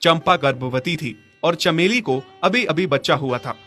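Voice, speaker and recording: A man's voice: fairly high pitch, moderate pace, sharp tone. A man narrating a scripted story as its storyteller. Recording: music behind the voice.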